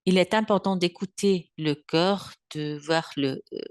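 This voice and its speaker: A Belgian French woman in her seventies gives a therapy webinar in a low, deep voice. She speaks thoughtfully, pausing often to search for words. The sound is dry and close, picked up by a computer mic on a video call. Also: clean tone, no room sound. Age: 70s. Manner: unhurried, thoughtful, with frequent hesitations. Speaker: bioenergetic therapist giving a webinar. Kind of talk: webinar lecture.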